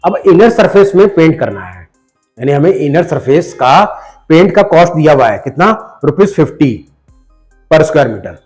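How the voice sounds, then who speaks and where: A man with a hoarse voice from a cold, patiently explaining a math lesson step by step and talking straight to the viewer. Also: on the high side. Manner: patient, addresses the viewer directly, repeats numbers slowly. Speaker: math teacher.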